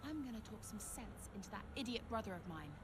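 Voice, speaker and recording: A woman's voice: mid-range voice, expressive, fast, background noise, lightly acted. A woman playing a headstrong, indignant young racing driver in a cinematic game. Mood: urgent, indignant.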